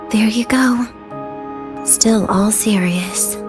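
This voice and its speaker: An actress playing a fragile, dying young woman in a video game, wry, weary and teasing, with a languid delivery full of pauses. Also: mid-range voice, music in the background. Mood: cool, resigned.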